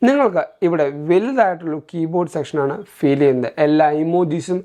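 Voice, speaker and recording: A man's voice: a little high, brisk, mellow. Man, Malayali accent, tech reviewer, explanatory step-by-step delivery, English technical terms mixed in. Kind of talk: scripted-feeling tech tutorial narration.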